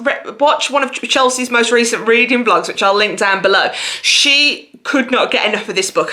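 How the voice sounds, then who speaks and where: A woman book vlogger chatting casually to viewers in an effusive, expressive way. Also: mid-range voice. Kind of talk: casual chatty video monologue about books.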